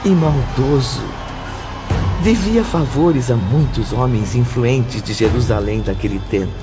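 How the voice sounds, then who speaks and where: A man gravely narrating a dramatic audiobook. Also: mid-range voice, a little slow. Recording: muffled, music behind.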